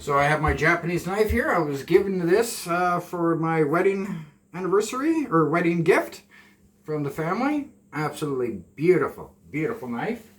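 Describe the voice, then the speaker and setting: A man's voice: a little high, very animated, normal speed. A man from Canada in his forties chatting casually while cooking at home, rambling and self-deprecating, a bit nervous on camera. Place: small home kitchen, indoors. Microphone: camera a few steps away. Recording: roomy, distant camera mic.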